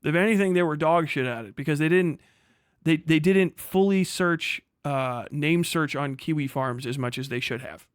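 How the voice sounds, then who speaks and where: A man giving casual, sweary livestream commentary in an exasperated, sarcastic, animated manner. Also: on the high side, smooth, dry recording, clean studio sound. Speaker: livestream host reacting to a recorded conversation.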